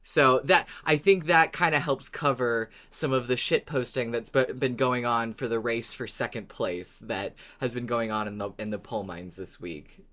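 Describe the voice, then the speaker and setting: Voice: mid-range voice, fairly even intonation, moderate pace, few pauses, fairly bright tone. A podcast co-host chatting casually and enthusiastically about a fan favourite, playful and given to casual swearing.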